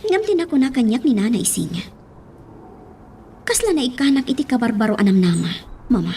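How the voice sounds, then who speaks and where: An actress playing a curious, pleading, headstrong young daughter in a radio drama. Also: female voice, on the high side, very animated, fairly quick, mellow, a little hiss or hum. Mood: complaining.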